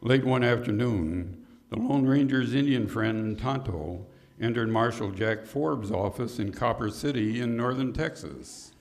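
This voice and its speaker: A man's voice: medium pitch, unhurried, a little mellow, natural voice. A man playing a dramatic, declamatory announcer narrating a 1950s-style Western radio serial. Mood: suspenseful.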